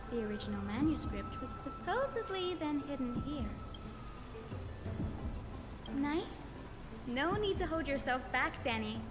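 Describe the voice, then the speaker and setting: A woman playing a young, knowledgeable, chatty assistant lecturer in a game, articulate and expressive as she explains things. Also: high voice. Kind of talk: scripted game dialogue, explanatory storytelling. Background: background music.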